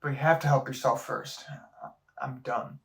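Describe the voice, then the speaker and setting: A man's voice: medium pitch, fairly even intonation, moderate pace, creaky, fairly bright tone. A man playing a concerned, frustrated doctor in an audio drama, speaking in an earnest, pressing way. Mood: earnest, worried.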